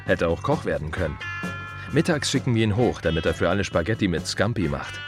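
Radio play monologue: plain working man, a gravedigger, low-pitched and expressive, dryly reflective, conversational, music underneath. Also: brisk. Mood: calm, wry. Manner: short clipped phrases, repetitive, conversational, wry. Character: plain-spoken working-class male gravedigger, wry and reflective.

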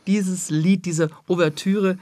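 Higher-voiced male radio host, dry sound. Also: fairly even intonation, slow pace, warm tone, no room sound. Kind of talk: radio presenting, structured announcements.

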